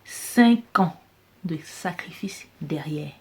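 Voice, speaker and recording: A woman's voice: deep voice, animated, slow. A woman with a Cameroonian French accent, giving an emphatic motivational business talk that repeats key phrases for stress. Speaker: business coach vlogger.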